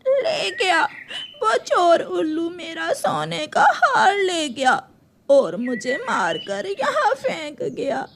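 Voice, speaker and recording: A woman's voice: big pitch swings, slow, gravelly, a bit bright, slight background noise, exaggerated voice. A woman voicing a greedy, ageing female crow in a melodramatic, whiny cartoon manner. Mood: tearful lament. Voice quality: tearful, high-pitched.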